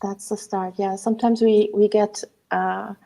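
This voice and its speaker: A woman co-hosting a live online interview, introducing the guest and asking questions in a measured way. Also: medium pitch, level tone, creaky, dark tone. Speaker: co-host.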